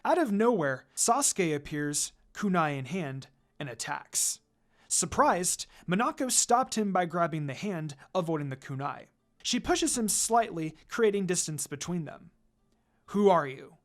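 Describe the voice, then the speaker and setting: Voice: mid-range voice, very animated, unhurried. A narrator reading a fan fiction story aloud as scripted narration.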